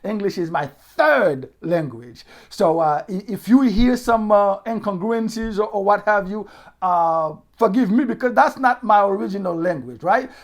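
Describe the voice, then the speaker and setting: A middle-aged Haitian pastor preaches a sermon with a Haitian Creole accent. His pitch swings widely as calm, filler-laden teaching rises into emphatic outbursts. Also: a little slow. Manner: preaching with rising emphatic bursts and frequent fillers.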